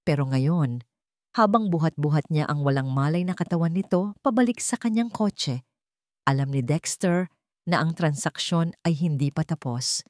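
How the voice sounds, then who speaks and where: Low-voiced woman narrating a dramatic story. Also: moderate pace, darkish tone, dry recording, clean studio sound.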